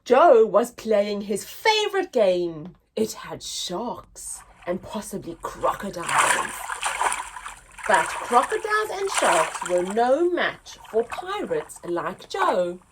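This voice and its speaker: A woman presenting a children's story time, reading a picture book in an expressive, deliberate, teacher-like way. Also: medium pitch, heavy background noise.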